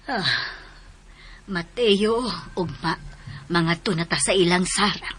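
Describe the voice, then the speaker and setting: A woman's voice: lowish voice, slow, fairly bright tone, slightly rough, full character voice. An actress in a melodramatic radio drama playing a protective, emotional mother. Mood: gentle, hesitant.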